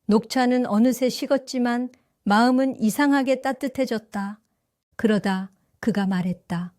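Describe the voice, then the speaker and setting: An older woman tells her story in a calm, reflective first-person narration. Her voice sits fairly high, and the recording is close and completely dry. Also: unhurried, no echo. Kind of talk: scripted first-person audio drama narration.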